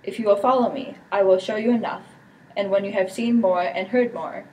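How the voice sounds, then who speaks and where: A woman's voice: medium pitch, fairly quick, dark tone, natural voice. Girl playing a scheming villain, recorded from afar. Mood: coldly accusing. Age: teenager. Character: scheming, slanderous villain, the prince's brother. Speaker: student performer. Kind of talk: amateur Shakespeare performance. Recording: distant mic with background noise.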